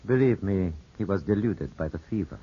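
An actor playing a courteous, evasive Italian lawyer in a formal, measured 1950s radio drama style. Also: male voice, low-pitched, animated, slightly rough. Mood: guarded, measured. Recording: muffled, scratchy 1950s radio broadcast.

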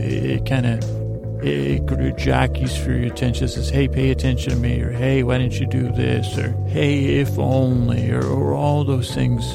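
A man hosting a sleep podcast in a soothing, rambling bedtime monologue, hesitant and meandering, with long pauses and stammered restarts and a gravelly voice. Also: medium pitch. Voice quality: creaky, gravelly. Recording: faint music under a dry voice.

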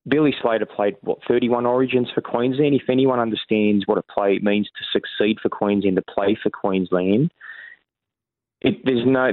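A man's voice: lowish voice, no echo. An Australian man talking casually as a podcast guest, with frequent restarts and self-corrections. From New South Wales, Australia. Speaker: rugby league journalist guest. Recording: dry, phone-like narrow sound.

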